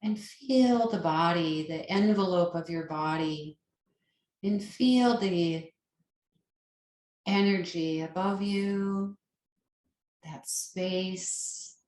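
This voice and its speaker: A woman leading a guided meditation as a yoga nidra teacher, with a soothing, gentle and unhurried manner. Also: lowish voice. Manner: soothing, unhurried, gentle instruction with long pauses. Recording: roomy, echoing sound.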